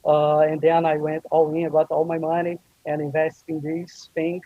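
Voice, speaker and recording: A man's voice: fairly high pitch, completely flat delivery, moderate pace, no room sound, phone-quality sound. A man from Brazil with a Brazilian Portuguese accent, a guest talking casually on a video call with frequent hesitations. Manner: hesitant, many fillers. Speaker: guest, live streaming producer.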